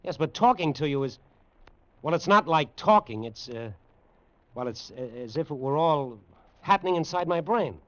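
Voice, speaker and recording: An actor playing a bewildered human pilot in an old radio drama, halting and incredulous as he questions. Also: a little high, expressive, dry recording, lightly acted. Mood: confused, hesitant. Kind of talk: 1950s radio science fiction drama acting. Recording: dull old radio recording.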